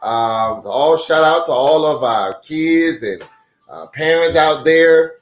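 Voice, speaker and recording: A man hosting a children's storytime, reading aloud in an expressive style and speaking directly to his young listeners. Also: a little high, takes it slowly. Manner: addresses young listeners directly with rhetorical questions and exclamations. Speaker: storytime host and pastor reading a children's book. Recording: roomy echo with music underneath.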